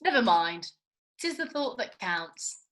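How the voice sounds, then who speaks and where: A woman's voice: a little high, sing-song, takes it slowly, fairly bright tone, exaggerated voice. A woman reading the part of a blunt, teasing young woman in a comedy script table read over a video call. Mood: polite. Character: blunt, cheeky young woman in Regency costume. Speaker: actor in a script read-through.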